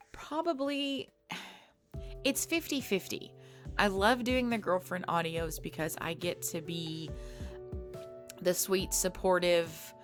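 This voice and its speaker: Low-pitched woman, casual unhurried Q&A host, light music bed behind. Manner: soft, thoughtful, with fillers and restarts. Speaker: ASMR creator answering viewer questions. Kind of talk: casual Q&A talk. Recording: faint background music.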